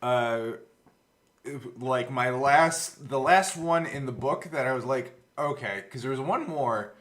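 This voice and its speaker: A man with an average-pitched voice talks casually as a game master looking back on a show. He explains things in a nerdy, rambling way and swears casually. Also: takes it slowly, fairly bright tone. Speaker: podcast co-host and game master. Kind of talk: casual behind-the-scenes recollection chat.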